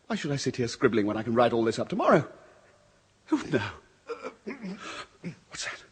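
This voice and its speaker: An actor playing a frightened freelance writer in a radio horror drama, husky-voiced and agitated, swinging between muttering and shouting. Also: male voice, mid-range voice, sing-song, moderate pace. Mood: mounting terror. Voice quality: husky, breathy. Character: frightened male freelance writer, jittery, unravelling overnight among waxworks. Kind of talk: old-time radio horror drama acting.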